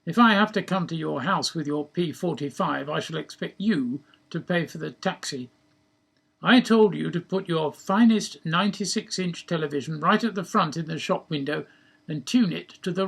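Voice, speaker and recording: A man's voice: fairly high pitch, lively intonation, moderate pace, creaky, fairly bright tone, breathy edge, full character voice. A man putting on a bossy head-office boss in a story, with a posh British accent, pompous and hectoring. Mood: pompous, irate.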